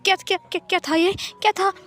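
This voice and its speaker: A woman's voice: sits high, a little slow, faint music behind. A woman voicing an anxious, curious young man in a dramatized story, questioning himself in repeated phrases. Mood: shaken, frightened. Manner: stammering repetition, questioning himself aloud.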